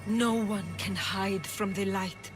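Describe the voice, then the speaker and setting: A woman acts out a mysterious oracle in a video game. She speaks in a medium register with solemn, ceremonial weight. Loud music swells behind the portentous delivery. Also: medium pitch, fairly even intonation, takes it slowly, natural voice. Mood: knowing, grave. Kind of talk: video game drama acting.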